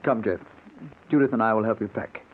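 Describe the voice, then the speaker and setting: Actor as placating friend, tinny old radio. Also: male voice, fairly low pitch, fairly quick, slight background noise, natural voice. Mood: soothing. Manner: hesitant, placating. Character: placating male family friend, nervously reasonable. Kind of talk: old-time radio drama acting.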